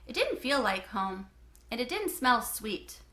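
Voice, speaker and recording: A woman narrating a children's book in an expressive, animated way, with clear phrasing. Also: fairly high pitch, measured pace, reverberant. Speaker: read-aloud host.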